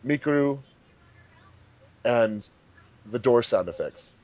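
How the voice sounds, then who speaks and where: A man's voice: mid-range voice, expressive, takes it slowly, darkish tone, no room sound. A man hosting a commentary track in casual, chatty speech. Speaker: fandub director and commentary host.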